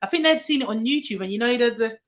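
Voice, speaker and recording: A woman, a nanny chatting casually on a live stream to her viewers. She rambles with many fillers and restarts, and her voice jumps high when she quotes children. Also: animated, fast talker. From England, grew up in London. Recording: slightly dull lo-fi live-stream audio.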